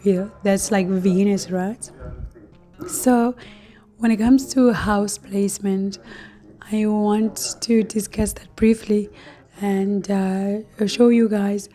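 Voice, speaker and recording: A woman hosting an astrology talk to her viewers, hoarse and losing her voice, speaking casually with many fillers and soft laughs. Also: mid-range voice, faint music behind. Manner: slow, relaxed, many fillers and light laughs. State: losing her voice, deeper than usual. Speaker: astrology channel host.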